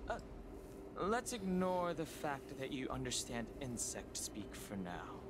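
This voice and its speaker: A composed young scholar-adventurer from a video game, sounds serious and analytical. He explains things in measured phrases, with noisy ambience behind him. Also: mid-range voice, very animated. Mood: analytical, calm.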